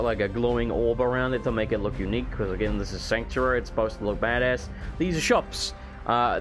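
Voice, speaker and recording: A man with a hoarse voice, losing it from being sick, rambling casually as he shows off his game mod. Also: mid-range voice. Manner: rambling, self-correcting, casual swearing. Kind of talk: casual gameplay walkthrough. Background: faint game music.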